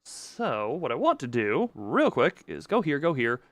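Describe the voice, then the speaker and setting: A man's voice: medium pitch, sing-song, unhurried, dry recording. A man livestreaming with casual chat, muttering and thinking aloud in a distracted way. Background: background music.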